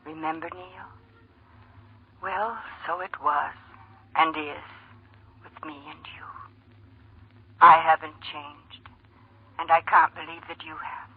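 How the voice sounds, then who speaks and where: An actor playing a tender, wounded lover in a 1940s radio drama, speaking earnestly and haltingly. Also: male voice, a little high, creaky, husky, full character voice. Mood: tender, pleading. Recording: tinny, telephone-like old radio recording.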